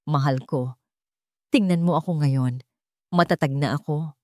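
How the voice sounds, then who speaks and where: A woman narrator plays a gentle Filipino storybook heroine who has found peace after grief. Her voice is soft and fairly low, tender yet resolute. The sound is dry and free of room echo. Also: unhurried. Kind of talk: dramatic audio storybook.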